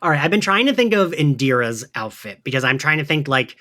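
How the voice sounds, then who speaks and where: A man's voice: on the high side, big pitch swings, a little fast, bright, forceful tone. A man hosting a podcast in casual chat, informal and sweary, prone to giggling. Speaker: podcast host.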